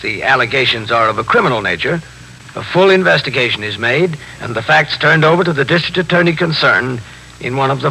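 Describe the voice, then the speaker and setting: An actor playing a stern, methodical police captain in a 1950s radio drama, speaking in a measured, official and probing way. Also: male voice, mid-range voice, gravelly, sharp tone, heavily acted. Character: police captain narrating and questioning his men, stern, methodical. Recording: faintly noisy 1950s radio broadcast.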